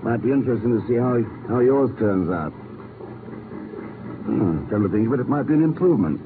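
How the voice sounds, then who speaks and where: An actor playing a cool, sardonic secret agent in a 1950s radio drama, dry and unflappable. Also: male voice, medium pitch, expressive, a little fast, natural voice. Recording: tinny, noisy old radio broadcast.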